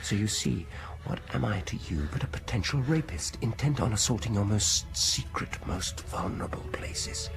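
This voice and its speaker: An actor playing a smooth-talking, insistent British dentist in a radio horror drama, deliberate and persuasive, often dropping into near-whispers. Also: male voice, fairly low pitch, lively intonation. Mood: intense, insistent. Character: smooth-talking, insistent male dentist coaxing a frightened patient. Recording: radio drama with music behind.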